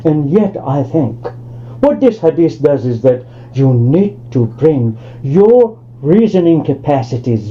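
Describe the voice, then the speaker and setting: An older man from Tanzania giving an academic lecture over a video call, speaking discursively with many asides and restarts. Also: high-pitched. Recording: lo-fi online call audio.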